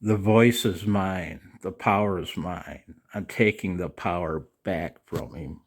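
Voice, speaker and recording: An older man hosts a casual video with a creaky, gravelly voice on the low side. He takes his time, speaking very slowly and haltingly. His rambling, folksy delivery is aimed straight at his viewers. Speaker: amateur radio operator and video host. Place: outdoors in the cold.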